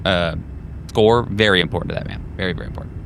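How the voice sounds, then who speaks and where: A man with a deep, low voice acts as game master for a tabletop game. He improvises his narration in a loose, conversational and sometimes profane way. There is faint noise behind him. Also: fast talker, creaky.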